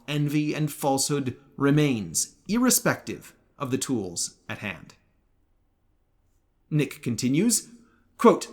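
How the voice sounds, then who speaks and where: A man narrating a scripted religious commentary in a formal, measured way with precise enunciation. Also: medium pitch. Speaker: video essayist.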